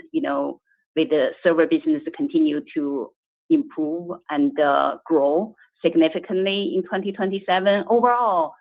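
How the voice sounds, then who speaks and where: A woman finance executive answering analysts on an earnings call, with halting, repetitive phrasing. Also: sits low. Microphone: conference call. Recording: tinny phone-like conference line.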